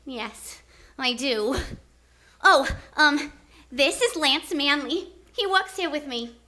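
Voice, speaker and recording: A woman playing a feisty former circus acrobat with snappy, slangy, expressive delivery in a live radio drama. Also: sits high, slow pace, heavily acted. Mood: wistful, candid. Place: theater stage.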